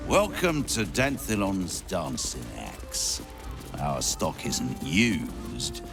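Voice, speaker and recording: A man playing a formal shopkeeper in a video game, with a gravelly voice. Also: deep voice, wildly swooping pitch, drawn-out words, full character voice. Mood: formal salesmanship. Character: solemn male adventurers' shopkeeper greeting customers. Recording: clear music behind.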